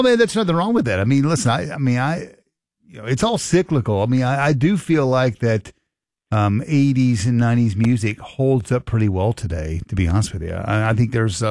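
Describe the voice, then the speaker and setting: A man's voice: medium pitch, creaky, a little mellow, no echo, studio quality. A man in his late fifties hosting a call-in radio show, teasing callers and rambling with many restarts. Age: 50s. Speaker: radio talk show host. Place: radio studio. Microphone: studio microphone.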